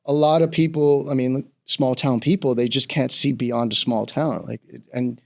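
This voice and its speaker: A middle-aged man from the Bahamas talks through his stories in an easy, rambling interview chat, laughing now and then. His voice has a gravelly fry at mid pitch. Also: normal speed. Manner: relaxed, rambling storyteller, laughs easily. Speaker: real estate broker, guest. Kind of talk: casual interview conversation.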